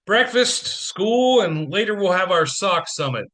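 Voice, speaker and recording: A man's voice: high-pitched, animated, unhurried, bright, forceful tone, full character voice. A man acting in an audio play as a harried, wry, affectionate businessman father with dry sarcasm.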